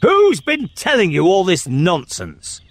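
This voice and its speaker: A man's voice: very high voice, wildly swooping pitch, normal speed, dry recording, voice pushed up, full character voice. A man voicing a stern, short-tempered king and uncle in a storybook, imperious and scolding. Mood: thunderous, angry. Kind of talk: dramatic storybook character reading.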